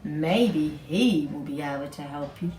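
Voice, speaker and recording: A woman's voice: deep voice, expressive, unhurried, darkish tone, pitched below the performer's own voice. A woman voicing a kindly, helpful female sheep in a children's story, emphatic, with bleats. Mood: apologetic, helpful. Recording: distant mic, roomy echo, background noise.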